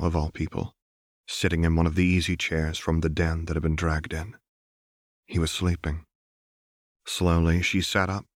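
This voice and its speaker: Low voiced guy narrating a story, slow and subdued, pretty flat, dry close mic. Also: no room sound. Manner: measured, subdued. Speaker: audiobook narrator. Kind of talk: scripted fiction narration.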